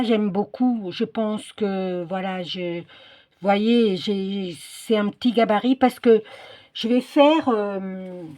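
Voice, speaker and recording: A woman's voice: mid-range voice, darkish tone, slight background noise. A middle-aged or older woman chatting casually in a craft vlog, hesitant and often searching for her words. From Portuguese descent. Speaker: craft video creator.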